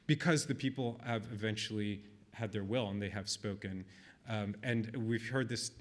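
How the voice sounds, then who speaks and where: Man giving a measured conference talk. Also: mid-range voice. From Israel. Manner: thoughtful, measured, with frequent ums and restarts. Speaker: conference speaker. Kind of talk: delivering a speech.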